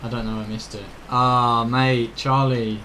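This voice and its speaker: A British man talks off the cuff to his viewers as he streams a game. His mid-pitched voice is informal and rambling, and a little game ambience sits faintly behind it. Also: flat delivery, measured pace, sharp tone, a little hiss or hum. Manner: informal, rambling, mild swearing. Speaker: video game live streamer. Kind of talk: casual chat to viewers during gameplay.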